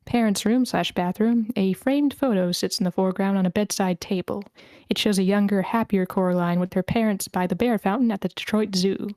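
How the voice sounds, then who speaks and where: A woman's voice: mid-range voice, fairly quick, a little mellow, no room sound. A woman reading stage directions as narrator at a screenplay table read over an online call. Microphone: video call.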